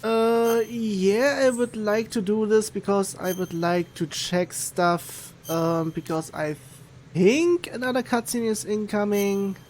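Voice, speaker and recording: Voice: mid-range voice, slow, darkish tone, a little hiss or hum. A game streamer giving casual, rambling, hesitant commentary while playing.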